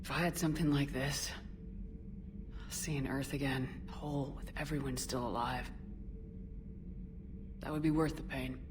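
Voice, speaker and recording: A resolute female military commander with a notably low voice. She speaks in a measured, terse, sincere way in cinematic game dialogue, with clear ambient noise behind her. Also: steady tone. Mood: reflective.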